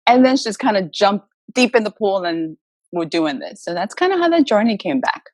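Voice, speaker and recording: A woman's voice: medium pitch, very animated, normal speed, darkish tone, no room sound. A woman from the Dominican Republic, a native Spanish speaker, giving emphatic, motivational answers in a conversational interview. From Dominican Republic, moved to Massachusetts as a child. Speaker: guest, author and motivational speaker.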